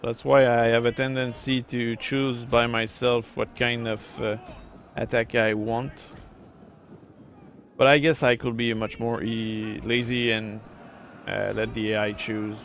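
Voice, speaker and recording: A man's voice: mid-range voice, little pitch movement, a little slow, vocal fry, fairly bright tone. A middle-aged francophone man with a French Canadian accent, rambling casually with many fillers while commenting on a strategy game. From Quebec, Canada. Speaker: gameplay streamer.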